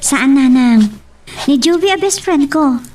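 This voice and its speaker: A woman's voice: sits high, animated, a little slow, a little mellow, far from the mic. A woman playing an earnest, friendly single mother talking in an Ilocano drama, with an Ilocano accent. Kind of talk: Ilocano radio-style serial drama acting.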